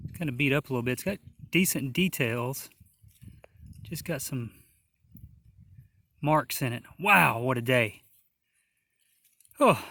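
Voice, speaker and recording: A man narrating a metal detecting hunt outdoors in a field, hoarse and awed, talking slowly with many exclamations. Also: fairly high pitch, a bit bright, no room sound. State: hoarse, losing his voice. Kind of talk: casual outdoor narration to camera.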